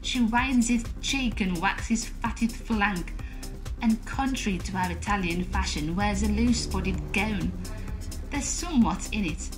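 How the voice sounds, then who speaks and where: A sly, sharp-tongued court schemer played by a woman speaks sardonic old verse at a medium pitch. Music plays clearly underneath. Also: lightly acted, poor audio. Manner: sardonic, deliberate verse delivery. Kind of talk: Jacobean audio drama acting.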